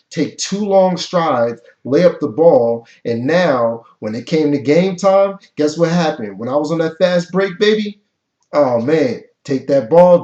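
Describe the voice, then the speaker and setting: A man giving a motivational talk over a webcam, energetic and preachy, with an occasional stutter. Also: on the high side. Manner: energetic, preachy, repeats key phrases. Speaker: motivational coach. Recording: echoey, dull webcam audio.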